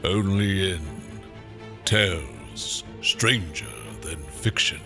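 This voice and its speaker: A grave, mysterious storyteller for an audio drama. His voice is deep and unhurried, with soft music underneath. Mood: ominous, reflective. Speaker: narrator. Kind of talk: dramatic scripted narration.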